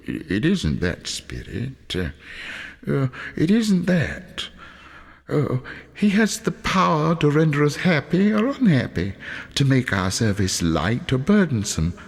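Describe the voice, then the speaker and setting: A man voicing a shaken, remorseful old miser in a storybook reading, halting and pleading as he speaks. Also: mid-range voice, wildly swooping pitch, creaky, slightly rough, in a large hall, heavily acted. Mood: earnest.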